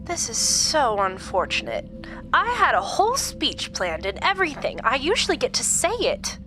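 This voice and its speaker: An actress playing a sinister supernatural woman captor in a horror audio drama, calm, theatrical and mock-polite as she gloats and schemes. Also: sits high, moderate pace, music in the background. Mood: mocking, playful.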